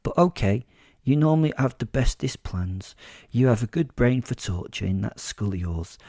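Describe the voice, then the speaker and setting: Man as eager, bloodthirsty dog spirit, dark-toned. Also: medium pitch, expressive, moderate pace, creaky, no room sound, studio quality. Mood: eager, admiring. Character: needy, bloodthirsty male dog-like spirit companion.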